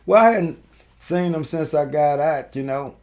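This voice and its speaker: A man's voice: fairly high pitch, a little slow, darkish tone. A middle-aged man, talking informally and in slang as an interview guest looking back on his life. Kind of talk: casual reminiscing chat.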